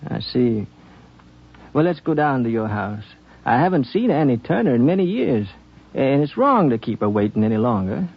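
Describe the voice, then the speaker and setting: An actor playing a gentle, earnest frontier wanderer in a 1950s radio drama, musing and folksy, with halting phrasing. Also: male voice, medium pitch, very animated, creaky. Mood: wistful. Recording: dull 1950s radio broadcast.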